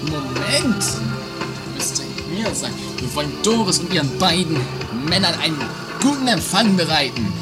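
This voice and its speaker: A man's voice: fairly high pitch, very animated, moderate pace. A man playing a melodramatic, easily outraged, gloating schemer in a trashy comedy radio play, declaiming with exaggeration over music. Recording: loud music under the voice.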